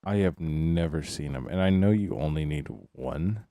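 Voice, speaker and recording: Young male streamer, deep voice, loose filler-heavy musing. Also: moderate pace, dark tone, dry recording, clean studio sound. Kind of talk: casual gaming stream chat.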